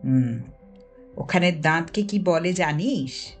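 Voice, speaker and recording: A woman's voice: low-pitched, animated, takes it slowly, faint music behind, pitched below the performer's own voice. A woman voicing a knowing, teasing older lad, bossy and mysterious, in a storybook reading. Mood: knowing, scornful.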